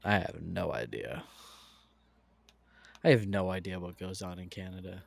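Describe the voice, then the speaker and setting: A man's voice: low-pitched, animated, normal speed, gravelly. An American man co-hosting a podcast, rambling casually with lots of fillers and restarts. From United States. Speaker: podcast co-host.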